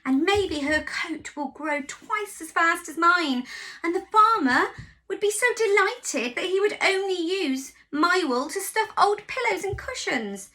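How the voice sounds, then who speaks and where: A woman putting on a fussy storybook sheep who bleats and complains. Her voice is high and bright, and she speaks slowly with big rises and falls. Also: lively intonation, full character voice, rough recording. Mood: upset. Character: fussy, fretful farm sheep.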